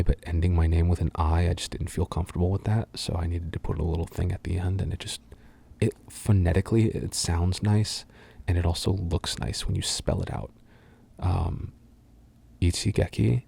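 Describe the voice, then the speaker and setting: A young adult man from the United States, talking in a soft, half-whispered way and rambling through fan questions with lots of ums and uhs. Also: sits low, creaky, husky. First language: English. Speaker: voice actor answering fan questions.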